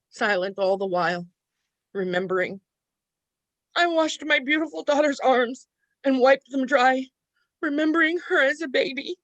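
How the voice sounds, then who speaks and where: A woman's voice: high voice, fairly bright tone, dry recording, low-quality recording. An actress playing a weary, tender, remorseful mother in a radio play, earnest and emotional. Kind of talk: radio play acting and first-person narration.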